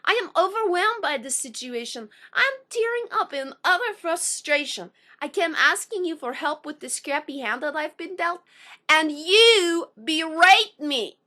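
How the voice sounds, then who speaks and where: A woman in her thirties performs a spoken word poem in a high, bright, sharp-edged voice. Her speech sounds slightly unusual, sometimes taken for a foreign accent, and she phrases slowly and carefully, pausing between lines. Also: animated. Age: 30s. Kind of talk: personal intro, then spoken word poem.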